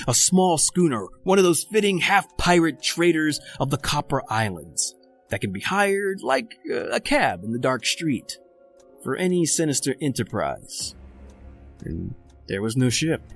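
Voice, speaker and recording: An actor playing a cold, calculating, educated murderer in a radio horror drama, speaking formally and deliberately. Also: male voice, medium pitch. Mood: brooding. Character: cold, calculating educated murderer doctor, leader of escaped convicts. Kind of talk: old-time radio horror acting, narrating and dialogue.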